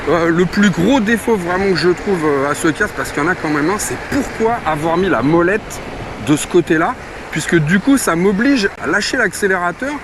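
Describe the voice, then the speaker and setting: A man's voice: high voice, expressive, normal speed, noisy background. A man giving a casual product review in a colloquial, hesitant way, as if thinking aloud. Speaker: motorcycle gear reviewer.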